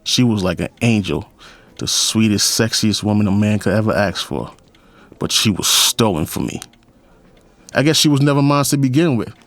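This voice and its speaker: A man's voice: on the low side, lively intonation, moderate pace, a bit bright, dry recording. A man playing a lonely, wry, middle-aged police captain who narrates his story in an audio drama. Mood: wistful. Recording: faint music behind the voice.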